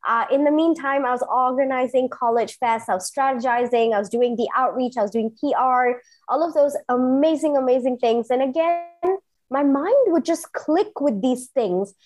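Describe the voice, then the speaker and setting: A woman's voice: high-pitched, normal speed, darkish tone. A woman in her thirties from Singapore, telling her career story as an interview guest in an earnest, enthusiastic way. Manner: earnest, enthusiastic, emphatic repetitions. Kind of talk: personal storytelling in conversation.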